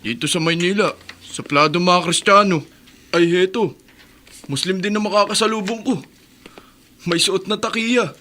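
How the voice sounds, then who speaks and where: A polite young newcomer. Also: male voice, high voice, normal speed, pauses often, sharp tone. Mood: hurt. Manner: polite, respectful, uses po. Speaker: radio drama character. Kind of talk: radio drama acting.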